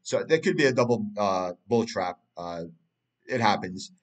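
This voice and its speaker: A man's voice: fairly low pitch, expressive, normal speed. A man, a Turkish speaker, talking through a price chart in casual analysis, rambling with many fillers and restarts. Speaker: crypto market analyst.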